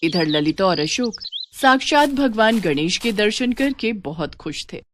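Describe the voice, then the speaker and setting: A woman narrating a moral story with a clear, even, steady storyteller's delivery. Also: medium pitch, a little slow, slight background noise.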